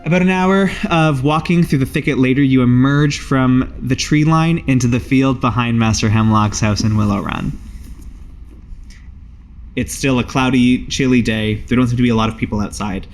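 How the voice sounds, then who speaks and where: A man's voice: medium pitch, moderate pace, soft background music. A man working as game master, narrating a tabletop game and bantering casually with the players.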